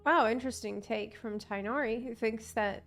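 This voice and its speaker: A woman's voice: on the high side, normal speed. A woman game streamer giving live commentary, thinking aloud and reacting to what happens, with game music behind her.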